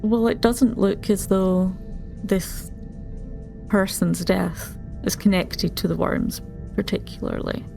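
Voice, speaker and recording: Slow, dark-voiced woman with vocal fry. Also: medium pitch. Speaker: tabletop game player. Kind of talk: casual game-table chat. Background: faint background music.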